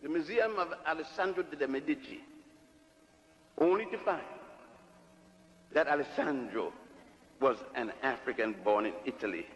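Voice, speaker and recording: An older man giving a public lecture and telling his own story in a halting, earnest way, repeating words as he goes. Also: fairly high pitch, lively intonation. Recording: dull archival lecture tape in a large hall.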